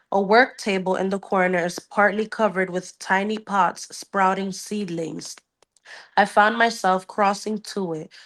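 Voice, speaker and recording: A woman podcast host reading a novel aloud and dropping into casual, blunt banter. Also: mid-range voice. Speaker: book club podcast host.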